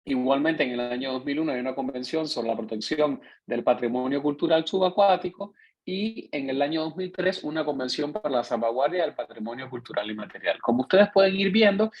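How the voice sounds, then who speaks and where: A man with a Cuban accent teaches an online course with a husky, slightly rough voice. His explanations are patient and thorough, and he keeps turning back to his listeners to make sure they follow. The sound is dull and lo-fi, like audio from a video call. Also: medium pitch. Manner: explanatory, checks in with listeners by tagging questions. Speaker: course instructor. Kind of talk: online lecture.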